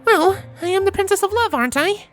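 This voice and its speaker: Man putting on a high-pitched, earnest pony princess. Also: expressive, quick pace, fairly bright tone, faint music behind. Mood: cheerful, confident. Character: female pony princess, meek, contrite, earnest matchmaker. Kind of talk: fan fiction audiobook character voice.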